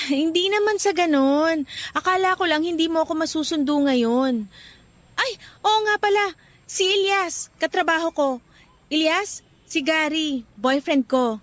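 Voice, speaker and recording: A woman playing a gentle, anxious young woman in a Tagalog radio drama, with a Filipino accent. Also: high voice, measured pace, no echo. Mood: startled, uneasy. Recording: music bed behind the voice.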